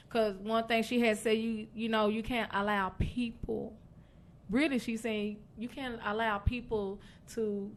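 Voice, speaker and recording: A woman hosting a radio show, interviewing a guest and cheering her on with constant affirmations. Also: mid-range voice. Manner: encouraging, constant uh-huhs and amens. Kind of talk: interview chat.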